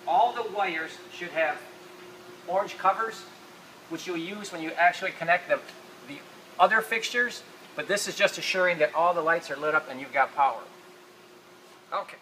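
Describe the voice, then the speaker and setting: A man's voice: high-pitched, moderate pace, long pauses, pushed and bright. A man giving practical, informal step-by-step instructions as he demonstrates an assembly. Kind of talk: hands-on instructional narration. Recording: noisy room, uneven distance from mic.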